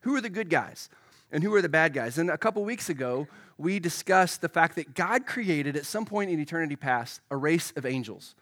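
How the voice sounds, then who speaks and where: A male pastor delivers a live sermon with a touch of froggy hoarseness from a head cold. His voice sits a little higher than most men's, and he keeps it conversational, turning to the congregation with questions as he goes. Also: expressive, moderate pace, a bit bright.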